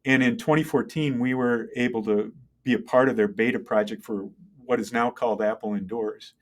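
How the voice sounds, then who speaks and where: A middle-aged man answers as a guest in a conversational interview. His voice sits at a medium pitch. He talks haltingly, thinking aloud as an engineer and entrepreneur would when explaining, and often checks that the listener follows. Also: fairly even intonation. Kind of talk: conversational interview, telling career story.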